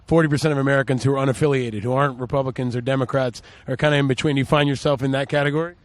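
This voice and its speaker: A man's voice: mid-range voice, steady tone, no room sound. A man asking passersby questions on a city street. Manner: brisk questioning. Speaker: street interviewer. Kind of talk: vox pop street interview.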